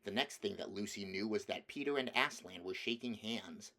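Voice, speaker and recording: Guy reading a story book out loud, deep-ish voice, audiobook narration. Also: normal speed. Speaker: audiobook reader.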